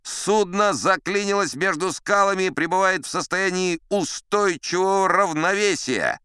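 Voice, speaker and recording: An actor voicing a zealous, literal-minded ship's first mate who declaims formal reports loudly in an audiobook play. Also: male voice, high-pitched, moderate pace, smooth, no room sound, studio quality, voice pushed up. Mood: solemn reporting.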